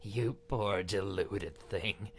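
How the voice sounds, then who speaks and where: A man's voice: fairly low pitch, drawn-out words, voice pushed up. A man voicing a menacing, scornful masked captive princess in a video game, mocking and threatening in manner. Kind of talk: dramatic game character acting.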